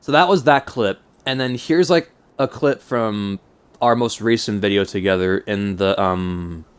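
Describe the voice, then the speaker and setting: A man's voice: on the low side, unhurried, a little hiss or hum. A man of twenty-two with a mild cold and stuffed-up nose, talking casually and self-consciously in a hesitant, rambling vlog. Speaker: YouTuber.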